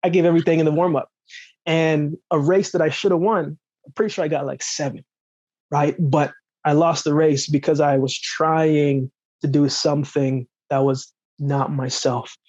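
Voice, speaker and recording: An easygoing man from Jamaica tells stories as a podcast guest, often tossing in "right?". His voice sits a little high and sounds dull and lo-fi, with a very dry, close feel. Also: flat delivery, normal speed, a little mellow, no echo. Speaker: podcast guest, former sprinter. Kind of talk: conversational storytelling.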